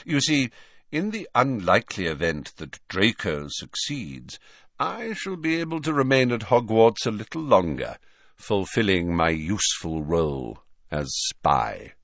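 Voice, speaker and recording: A man reading an audiobook, voicing a cold, guarded middle-aged wizard who speaks flatly and quietly. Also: lowish voice, dry recording, studio quality, heavily acted. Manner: flat, quiet, measured.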